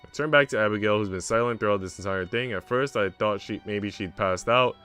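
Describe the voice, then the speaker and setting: A man's voice: on the low side, a little fast, a bit bright, clean tone, soft background music. A man streaming a visual novel, reading its narration aloud and adding casual joking asides.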